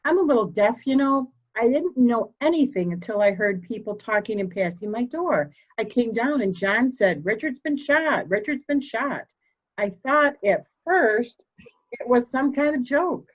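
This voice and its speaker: A woman playing a proud, formal, candid elderly mother in a murder mystery reading, speaking deliberately. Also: fairly high pitch, animated, muffled recording. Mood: composed, candid. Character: proud, cold old mother, candid and slightly deaf.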